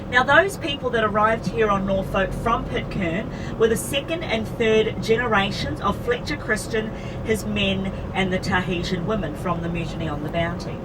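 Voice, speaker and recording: A woman with a New Zealand accent talks a busload of visitors through local history, relaxed and chatty, tossing in casual asides. She sounds a little distant from the mic. A steady roar of engine and road noise sits under her voice. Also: mid-range voice. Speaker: tour bus driver and guide. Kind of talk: guided tour commentary. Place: moving tour bus. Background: vehicle engine and road noise.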